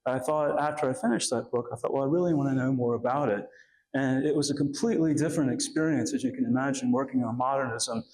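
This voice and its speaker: Man, raspy from a cough, guest lecturer, halting delivery, many fillers, mid-pitched voice. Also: darkish tone, a little hiss or hum, poor audio. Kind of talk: academic lecture.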